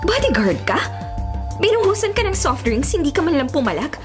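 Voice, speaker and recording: An actress playing a feisty, expressive young Filipino college woman, a mayor's bratty daughter, in a radio drama. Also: high voice, fairly quick. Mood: scolding, exasperated. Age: young adult. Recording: loud music under the voice.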